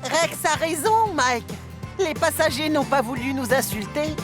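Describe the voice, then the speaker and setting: A woman's voice: high-pitched, lively intonation, unhurried. A woman voicing a cheerful, friendly young tank engine with an eager manner in a cartoon dub. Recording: music behind the voice.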